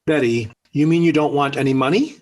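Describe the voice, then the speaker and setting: A man's voice: on the high side, a little fast, darkish tone, natural voice. An actor playing an exasperated middle-aged American family father in a 1940s radio sitcom, with formal, wordy, dryly sarcastic delivery. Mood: relieved, pleased. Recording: vintage 1940s radio recording.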